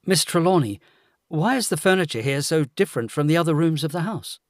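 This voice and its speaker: A man playing a curious, courteous young gentleman in a gothic audio drama. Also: fairly high pitch, expressive, fairly quick, dry recording, clean studio sound. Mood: intrigued.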